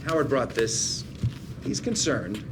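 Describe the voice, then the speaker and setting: Proud, evasive lawyer, lively intonation. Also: male voice, mid-range voice, slow pace. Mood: earnest, evasive. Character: proud, stubborn, evasive older brother, a sidelined lawyer. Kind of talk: table read of a TV drama script. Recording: noisy table read room recording.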